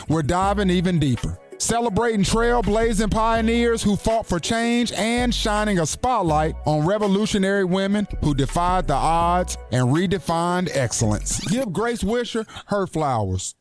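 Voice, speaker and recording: A man reading a scripted podcast promo as an announcer. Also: high-pitched.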